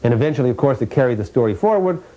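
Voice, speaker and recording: A man's voice: medium pitch, expressive, very fast, warm tone, rough recording. A man speaking as an interviewed historian, telling history in a fluent, articulate, explanatory way.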